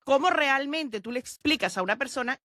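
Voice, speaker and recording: A woman hosting a podcast interview, asking questions in an animated way and acting out quoted speech. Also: high-pitched, a little fast, no echo, clean studio sound. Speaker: podcast host. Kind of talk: conversational interview.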